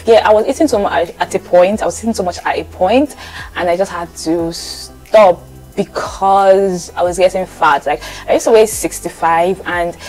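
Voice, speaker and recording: A woman's voice: on the low side, normal speed, a little mellow, soft background music, rough recording. A young woman from Lagos with a Nigerian accent, chatting casually to viewers and repeating phrases for emphasis. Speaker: vlogger.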